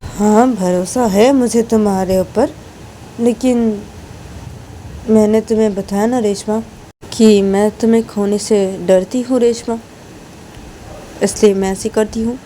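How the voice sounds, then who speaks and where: Woman acting a mellow-voiced, suspicious, possessive wife. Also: medium pitch, steady tone, a little slow, long pauses, a little hiss or hum, voice pushed down, natural voice, poor audio. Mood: anxious, loving. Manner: coaxing, nagging, repetitive questioning. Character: suspicious, possessive wife, loving but insecure.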